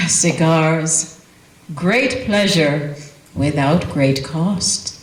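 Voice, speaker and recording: A woman's voice: low voice, extremely slow, slight background noise. A woman playing a persuasive old-time radio commercial announcer selling cigars. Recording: roomy echo.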